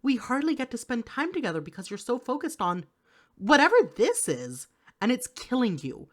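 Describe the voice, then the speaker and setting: Woman acting a worried, pleading girlfriend, expressive pitch. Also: moderate pace. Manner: earnest, pleading, caring scolding. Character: worried, exasperated female partner of an overworked listener. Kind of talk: intimate audio roleplay monologue addressed to the listener.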